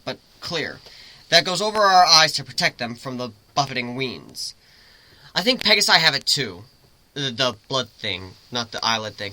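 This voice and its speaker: Bright, animated male story narrator. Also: mid-range voice, low-quality recording. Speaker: story reader. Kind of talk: dramatic first-person fiction narration.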